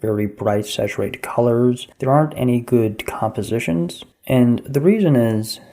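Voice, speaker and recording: A man co-hosting a podcast, giving a brisk, opinionated film review. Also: medium pitch, mellow. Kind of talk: casual podcast discussion.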